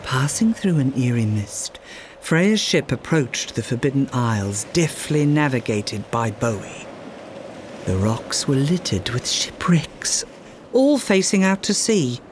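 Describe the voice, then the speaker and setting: Slow, expressive children's storyteller. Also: medium pitch, background noise. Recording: music under the voice. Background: music.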